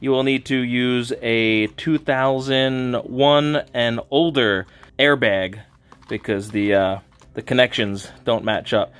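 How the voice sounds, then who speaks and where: A man in his thirties hosting a casual car-parts vlog, upbeat and chatty, recorded in his home garage workshop on a camera held at arm's length. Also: mid-range voice. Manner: excited, upbeat, chatty with laughs. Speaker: channel host. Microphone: handheld camera at arm's length.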